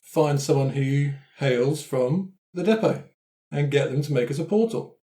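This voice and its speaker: A man with a fairly high voice plays a tabletop roleplaying game. He improvises casually in character, and the sound is clearly echoey and roomy. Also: measured pace, mellow.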